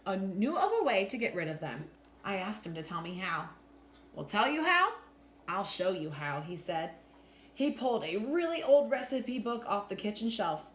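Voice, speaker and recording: Expressive woman storyteller with lively pitch. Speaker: teacher reading a picture book aloud. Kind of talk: children's storybook read-aloud. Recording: roomy echo with faint background noise.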